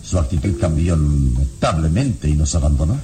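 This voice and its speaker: An actor in a radio drama playing a solemn, relentless and eloquent heroic investigator who questions in formal, theatrical diction. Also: male voice, very deep voice, animated, normal speed, music in the background. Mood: probing, stern. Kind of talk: classic Latin American radio drama acting.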